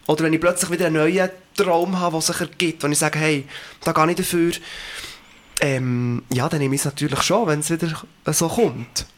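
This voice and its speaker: A man's voice: a little high, a bit bright. A man in his late twenties with a Swiss German accent, a singer answering interview questions in a reflective, earnest way with frequent fillers. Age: 20s.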